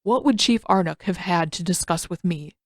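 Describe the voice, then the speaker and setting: A woman voicing a stern, proud old male master in a story reading, speaking in a formal, measured, condescending way. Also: on the low side, no room sound, clean studio sound, natural voice. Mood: grave, scolding. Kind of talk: audiobook-style fan fiction character reading.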